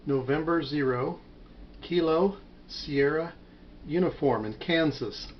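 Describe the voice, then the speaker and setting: An American man talking casually as an amateur radio operator, measured and a little hesitant, on a muffled home recording. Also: fairly high pitch, heavy background noise.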